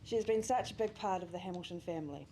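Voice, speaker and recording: A woman's voice: on the low side, fast, warm tone, slight background noise. New woman reading a eulogy. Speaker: family friend giving a eulogy. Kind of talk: reading a memorial speech. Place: memorial service venue.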